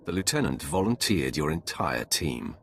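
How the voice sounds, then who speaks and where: An actor playing a cold, imperious Sith lord in a video game, gravelly and haughty. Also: male voice, extremely low pitch, big pitch swings, moderate pace, soft background music, exaggerated voice. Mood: commanding. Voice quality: gravelly, husky. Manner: haughty, commanding. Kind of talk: video game character dialogue.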